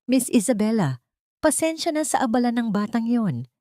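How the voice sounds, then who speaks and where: A woman's voice: a little high, sing-song, normal speed, warm tone, no room sound, studio quality, voice pushed up. A woman voicing a greedy, ingratiating older matriarch in a dramatic story, falsely sweet and boastful.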